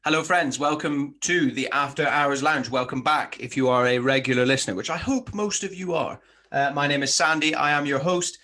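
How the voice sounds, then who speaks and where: A man's voice: fairly high pitch, moderate pace, bright, forceful tone. A man hosting a podcast in a casual, matey and enthusiastic interview style. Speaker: podcast host.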